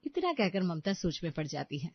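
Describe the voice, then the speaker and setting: A woman's voice: fairly low pitch, sing-song, brisk, husky, no room sound. A woman narrates a moral family story as a scripted read. The recording is very dry, with a dull, lo-fi top end.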